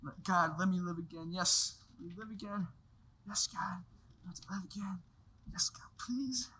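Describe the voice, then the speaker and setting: Casting: performer as a desperate family man, lively swinging pitch, bright edge, frantic pleading. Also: normal speed. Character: desperate man in his thirties pleading for his old life back.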